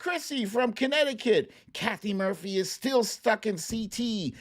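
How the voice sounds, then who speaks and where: A livestream host commenting casually on clips in a playful, expressive way, with silly exclamations. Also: mid-range voice, measured pace.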